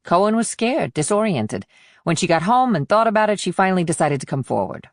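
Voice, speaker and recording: A woman's voice: fairly low pitch, sing-song, a little fast, no room sound, clean studio sound. A woman voicing an insistent, businesslike female investigator in audiobook dialogue, firm and explanatory. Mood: insistent, irritated.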